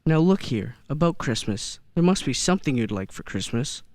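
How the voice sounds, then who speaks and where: An actor playing a kindly old Santa figure in a radio play, gentle and hesitant, often repeating words. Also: medium pitch, big pitch swings, normal speed, dry recording, clean studio sound, lightly acted. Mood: encouraging. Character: kindly old man who believes he is Santa. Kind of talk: stage-style radio play acting.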